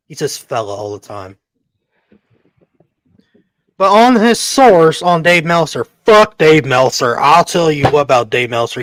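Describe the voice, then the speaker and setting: Excitable male podcast host, joking banter, animated swinging pitch, dry close sound. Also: no echo. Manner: excitable, joking, swears freely. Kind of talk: casual profane podcast banter.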